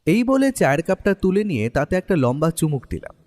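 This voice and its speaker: Brief: a man playing a first-person narrator in a radio drama, mellow voice rising and falling, long reverb over soft music. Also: a little high, brisk. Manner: lively, expressive storytelling. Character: the detective's male companion and writer, first-person narrator. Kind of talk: storytelling narration in an audio drama.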